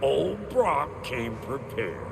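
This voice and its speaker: Cantankerous dwarf blacksmith, gruff folksy US drawl, slowish delivery, very animated pitch, video game cutscene. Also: male voice, noisy background, heavily acted. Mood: indignant, grumbling. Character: cantankerous foul-mouthed middle-aged male dwarf blacksmith.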